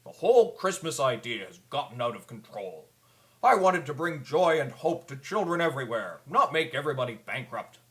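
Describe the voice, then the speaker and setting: Man playing an animated, earnest old Santa Claus. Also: medium pitch, moderate pace. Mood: concerned, grave. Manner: earnest, pleading storyteller delivery. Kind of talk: scripted public address.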